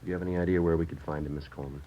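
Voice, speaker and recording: An actor playing a terse, businesslike police sergeant in a 1950s radio crime drama, with an American accent and a deadpan, clipped manner. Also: male voice, rumbling low, very fast, a little hiss or hum. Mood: calm, probing. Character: terse, matter-of-fact male police sergeant detective. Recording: dull vintage radio recording with music.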